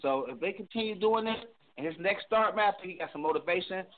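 A man's voice: high voice, expressive, quick pace. A man on a sports talk panel giving a loose, rambling take full of restarts. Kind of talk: sports analysis. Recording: noisy phone-line audio.

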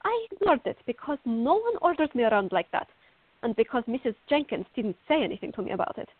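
A woman's voice: fairly high pitch, very animated, fast, slightly rough. A woman acting a fiery, stubborn, sarcastic teenage girl in a radio drama. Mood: stubborn, defiant. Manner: headstrong, sarcastic. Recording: muffled, phone-like narrow-band audio.

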